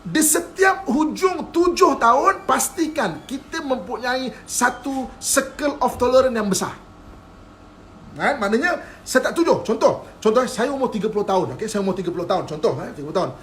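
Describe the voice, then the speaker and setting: A man's voice: extremely high pitch, expressive, moderate pace, a bit bright, slight background noise. A man with a Malaysian Malay accent giving a live-streamed religious lecture. He is playful, keeps asking whether his listeners follow, and often chuckles. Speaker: religious teacher.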